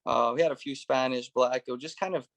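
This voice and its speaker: A man's voice: medium pitch, quick pace, a bit bright. A man in his thirties, born in Russia, telling his life story as a guest in a casual interview, with lots of "you know" and "right". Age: 30s. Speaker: guest, former police officer turned real estate investor.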